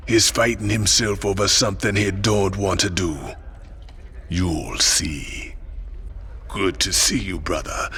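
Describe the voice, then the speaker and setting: An actor playing a brooding, cryptic psychic outlaw in a video game, with a Caribbean accent and a gravelly, ominous way of speaking. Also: male voice, low-pitched, bright, forceful tone, a little hiss or hum, full character voice. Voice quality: gravelly, often breathy. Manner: slow, ominous, knowing. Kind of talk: video game cutscene acting. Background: music.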